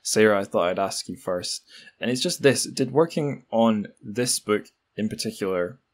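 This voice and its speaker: A man's voice: fairly low pitch, moderate pace. A man hosting a podcast, musing tentatively in casual interview chat. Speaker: podcast host.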